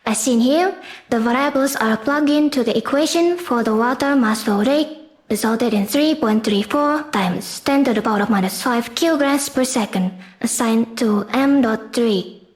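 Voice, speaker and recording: A woman's voice: fairly high pitch, moderate pace. A woman reading a scripted educational explainer in a formal, step-by-step manner, as the presenter of a class project.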